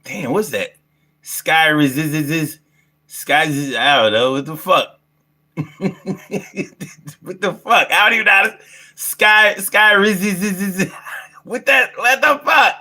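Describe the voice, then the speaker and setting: A man's voice: high-pitched, slow pace, long pauses, bright, forceful tone. A man in his fifties hosting a casual livestream, talking in brash, slangy, profane style and repeating phrases for emphasis. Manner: brash, heavily profane, slangy street talk. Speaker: livestream host.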